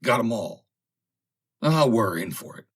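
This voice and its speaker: A man's voice: mid-range voice, expressive, unhurried, a bit bright, slightly rough, voice pushed up. A man voicing members of a rowdy, indignant sailor crew in an audiobook, questioning and jeering. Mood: dismayed. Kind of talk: audiobook character acting.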